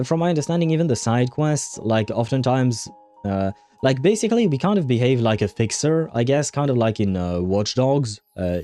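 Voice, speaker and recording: A man hosting a casual livestream, talking in a rambling, halting way as he thinks aloud. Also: mid-range voice, dark tone. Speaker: livestream host. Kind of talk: casual livestream chat over a game. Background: faint music.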